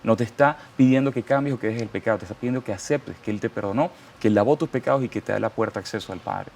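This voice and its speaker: A man's voice: fairly low pitch, very fast, creaky, darkish tone, a little hiss or hum. A man with a Venezuelan accent, a guest giving a fervent, preacherly testimony and quoting scripture. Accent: Venezuelan Spanish. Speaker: interview guest. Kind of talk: testimony interview.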